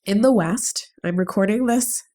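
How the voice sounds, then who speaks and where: Woman with a stuffy cold nose, mellow voice, gentle earnest podcast talk. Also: mid-range voice, normal speed. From United States. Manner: earnest, gentle, thinking aloud. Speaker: podcast host. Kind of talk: reflective solo podcast talk.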